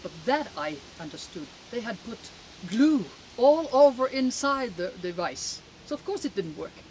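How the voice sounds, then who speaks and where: Older Finnish woman, emphatic and halting. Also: a little high, sing-song, darkish tone, poor audio. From Finland. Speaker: interview guest. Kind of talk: conversational interview answers, personal testimony. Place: outdoors in a garden. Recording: clear outdoor background noise.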